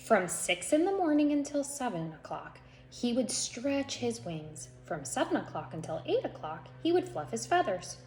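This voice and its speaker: A woman reading a children's picture book aloud as an expressive storyteller, with deliberate, dramatic phrasing. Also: a little high, faint music behind. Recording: echoey room with faint noise.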